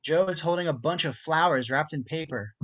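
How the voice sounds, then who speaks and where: A man's voice: on the high side, fairly quick, a bit bright. A man reading out stage directions as the narrator of an amateur script reading. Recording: dull, muffled home recording.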